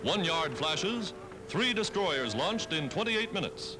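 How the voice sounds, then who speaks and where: High-pitched American newsreel announcer man, animated. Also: slow. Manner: emphatic, dramatic announcer delivery. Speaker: newsreel narrator. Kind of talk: 1940s newsreel narration, scripted. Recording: old 1940s newsreel film soundtrack.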